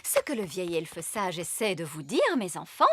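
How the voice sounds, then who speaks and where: An actress voicing a haughty, condescending fairy nanny in a children's cartoon. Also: female voice, on the low side, sing-song, moderate pace, husky, no room sound. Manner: imperious, condescending.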